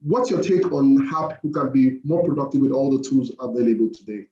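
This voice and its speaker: Mellow man interviewing in a roomy space. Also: medium pitch, a little fast. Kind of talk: interview questioning. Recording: roomy, slightly dull audio.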